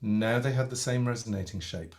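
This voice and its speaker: A middle-aged man, a voice coach, demonstrating singing technique in a relaxed, demonstrative manner from a home studio. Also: mid-range voice, fairly quick. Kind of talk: teaching demonstration with sung vowels. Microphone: studio microphone with headphones.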